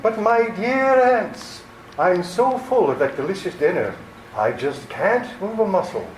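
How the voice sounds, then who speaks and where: Casting: man to play menacing radio-play villain, theatrically courteous and bossy, animated melody, roomy sound with faint noise. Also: high-pitched, a little slow. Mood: falsely sweet. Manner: mock-courteous, commanding, theatrical. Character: menacing, scheming criminal nephew with a changed face. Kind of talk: 1940s-style radio theater acting. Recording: echoey room with faint noise.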